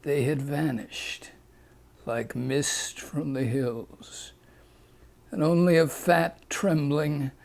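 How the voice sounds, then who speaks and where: A man's voice: on the high side, vocal fry. A man reading his own rhyming fable aloud in a playful storybook manner, putting on voices for the characters. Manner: slow, playful reading that leans into the rhymes.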